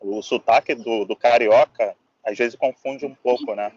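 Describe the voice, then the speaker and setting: A man's voice: medium pitch, steady tone, a little slow, a little mellow, husky. A man hosting a casual interview, agreeable and fond of short replies and tag questions. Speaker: host. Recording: dull lo-fi sound.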